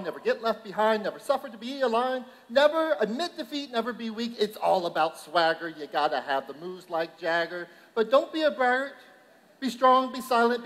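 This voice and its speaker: A man's voice: high-pitched, fairly bright tone. A man reciting rhythmic slam poetry as a preacher-poet, punchy, emphatic and declamatory. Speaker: reverend performing slam poetry. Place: large hall at a youth conference. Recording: reverberant large hall.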